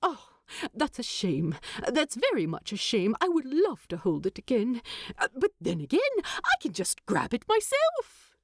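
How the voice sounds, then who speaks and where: An eager, stubborn little hobbit gentleman, played by a woman narrator, talks in a high, wildly swinging voice. He rambles and hesitates as he argues his case in a storybook reading. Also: wildly swooping pitch, measured pace, no echo, studio quality, exaggerated voice. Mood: wistful. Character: excitable, talkative adult male hobbit, eager and stubborn.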